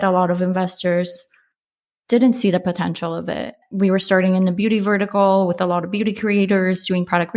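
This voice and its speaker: A woman from Poland, a startup founder, talking reflectively in a casual interview with frequent restarts and fillers. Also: fairly low pitch.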